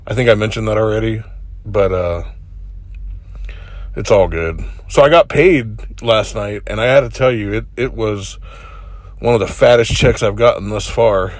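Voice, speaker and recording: A man's voice: lowish voice, moderate pace, no echo. Congested man chatting casually. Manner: laid-back, joking, swears freely. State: congested with a cold. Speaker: truck driver. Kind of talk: casual driving vlog.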